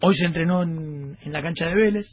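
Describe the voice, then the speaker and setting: Husky Argentine man on radio. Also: fairly high pitch, a little fast, no room sound. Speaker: radio show panelist. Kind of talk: casual radio talk. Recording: slightly muffled radio broadcast.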